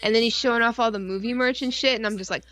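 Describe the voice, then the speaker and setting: A woman's voice: a little high, steady tone, very fast, a bit bright. Casual, rambling woman co-host. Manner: rambling and informal, frequent 'like' and mild swearing. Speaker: podcast and stream co-host. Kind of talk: casual chat.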